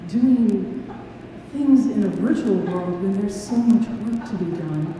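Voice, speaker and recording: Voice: mid-range voice, slow pace. A person giving a eulogy at a memorial service in a church hall. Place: church hall, speaking to a gathering. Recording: very echoey hall, far mic, loud noise.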